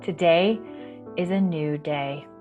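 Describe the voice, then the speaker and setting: A woman hosting a children's storytime, reading and explaining in a soft-spoken, reassuring, measured way. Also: low voice, highs cut off, over music. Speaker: children's library storytime host. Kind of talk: gentle picture-book reading and explaining to children.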